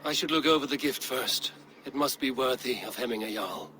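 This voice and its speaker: A man's voice: medium pitch, fairly quick, natural voice. A man voicing a resolute Viking leader. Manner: steady, earnest, commanding. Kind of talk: video game cutscene acting.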